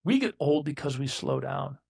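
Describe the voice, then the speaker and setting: A man's voice: mid-range voice, animated, moderate pace, creaky, a little mellow, slightly rough. A man in his sixties, a business owner talking as a guest in a casual interview, blunt and emphatic, often restarting his sentences. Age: 60s.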